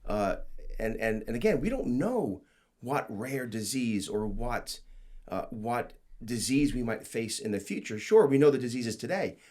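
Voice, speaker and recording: A male interview host who talks with eager energy, his pitch rising and falling widely. He stumbles and restarts often as his thoughts race ahead. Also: expressive, darkish tone. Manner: enthusiastic, halting with many restarts. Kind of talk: policy interview show.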